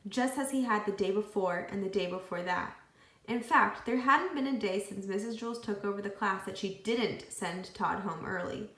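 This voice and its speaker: A woman reading a children's book aloud expressively, with deliberate phrasing and acted dialogue. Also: a little high, a bit bright, reverberant. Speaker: read-aloud storyteller.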